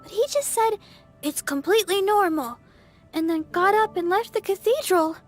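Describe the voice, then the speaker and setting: A woman voicing a sweet, earnest young female healer in a video game, light and gentle with hesitant phrasing. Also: extremely high pitch, normal speed. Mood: gentle, puzzled. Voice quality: light, girlish. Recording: music behind the voice. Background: game music.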